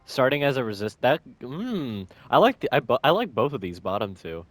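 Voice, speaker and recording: Male gamer, mid pitch, very varied intonation, thinking aloud, dry close mic, faint game music. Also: very animated, moderate pace, dry recording. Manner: indecisive muttering, thinking aloud. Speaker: gamer playing on stream. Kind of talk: casual gameplay commentary.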